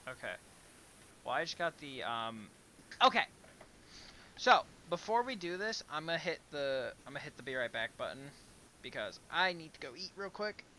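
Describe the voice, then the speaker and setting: A man's voice: fairly high pitch, very animated, normal speed, bright, forceful tone, slight background noise. A man hosting a livestream, chatting casually and bluntly with plenty of swearing. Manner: profane, blunt, exclamatory. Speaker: livestream host. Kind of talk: casual reaction stream chat with read-aloud passages.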